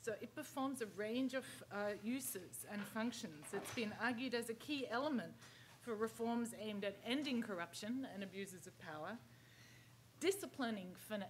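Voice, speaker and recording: A woman's voice: medium pitch, a little hiss or hum. A middle-aged woman from Australia, speaking as a panelist at a conference podium in a large hall, chatty with many restarts. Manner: lively, chatty asides with restarts. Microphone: podium microphone.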